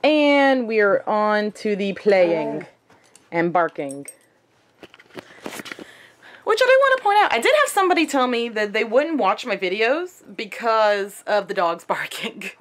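A young adult woman chatting casually to a handheld camera at arm's length in a small room at home, in a conversational, animated manner. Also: medium pitch, slight background noise. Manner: animated, conversational, acts out quotes. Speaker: vlogger.

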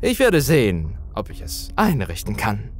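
A man voicing a sinister old man in a horror story, coaxing and menacing, with slow, drawn-out words. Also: lowish voice, wildly swooping pitch, soft background music. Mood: gracious, sly. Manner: slow, drawn-out, wheedling delivery. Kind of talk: horror audio story character voice.

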